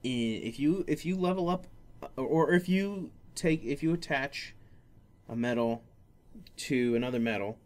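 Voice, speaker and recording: A man hosting a casual gaming podcast, talking in a rambling, halting way that often circles back on itself. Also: on the high side, darkish tone. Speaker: podcast host.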